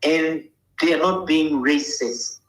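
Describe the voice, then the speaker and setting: A woman's voice: sits low, takes it slowly. Blunt older woman, echoey room. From Liberia. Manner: blunt, emphatic, asks "you understand?". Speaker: interview guest. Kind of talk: casual interview talk.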